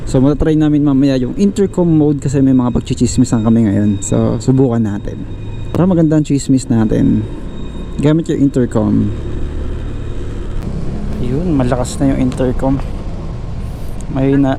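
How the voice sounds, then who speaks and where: A man's voice: mid-range voice, moderate pace, vocal fry, long pauses, mellow. Filipino guy doing a chatty motovlog outside, medium voice, bits of english mixed in, traffic sounds. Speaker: motovlogger host. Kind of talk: casual vlog chat to viewers with English mixed in.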